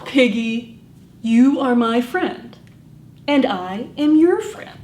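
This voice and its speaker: Woman as a very slow, tactful storybook elephant. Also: on the high side, expressive, a little mellow. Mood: gentle, honest. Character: gentle, hesitant, tactful elephant friend of a pig. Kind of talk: picture-book read-aloud. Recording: echoey room with faint background noise.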